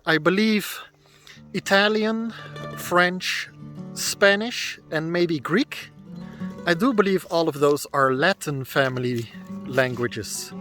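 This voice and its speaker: A man's voice: on the high side, fairly bright tone. A man in his thirties from the Netherlands with a Dutch accent, talking casually to camera outdoors in the woods and explaining his thoughts as he goes. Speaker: vlogger.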